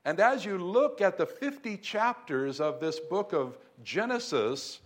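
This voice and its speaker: A man preaching a sermon to a congregation in a room, speaking in a measured way with emphatic lifts on key points. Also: sits high, lively intonation. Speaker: pastor. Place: church room in Beijing.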